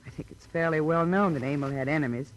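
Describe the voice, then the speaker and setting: A woman's voice: deep voice, quick pace, lightly acted, poor audio. A restrained, wounded widow played by a woman in a 1950s radio noir. She speaks hesitantly and earnestly, on the guard, with faint noise on the old recording. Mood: defensive, earnest. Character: plain, estranged widow under suspicion, guarded and wounded. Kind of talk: 1950s radio drama acting.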